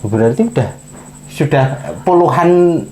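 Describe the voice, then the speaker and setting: Male host with a warm, dark voice and an inquisitive interview manner. Faint background noise. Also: fairly high pitch, lively intonation, a little slow, rough recording. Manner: curious, asks follow-up questions, frequent short acknowledgements. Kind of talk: casual chat.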